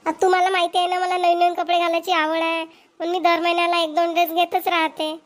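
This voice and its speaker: A spoiled young cartoon wife, acted by a woman, argues in an extremely high, childlike pitch. She sounds whiny and defiant, with a Vidarbha Marathi accent. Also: monotone, fast. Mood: demanding. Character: spoiled, argumentative young wife, fond of shopping. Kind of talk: amateur cartoon voice acting.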